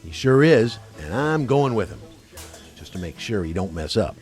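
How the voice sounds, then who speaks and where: An actor playing a brash, skeptical, wisecracking buddy in a radio play, cajoling in colloquial speech. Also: male voice, lowish voice, sing-song, moderate pace, darkish tone, faint music behind. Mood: cocky, joking.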